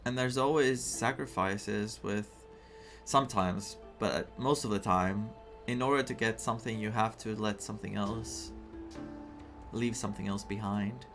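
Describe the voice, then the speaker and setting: Game playthrough streamer: slow, musing, emotive casual commentary, faint music underneath. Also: mid-range voice, fairly even intonation, a bit bright. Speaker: streamer playing a story game. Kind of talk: casual playthrough commentary, reading some dialogue aloud.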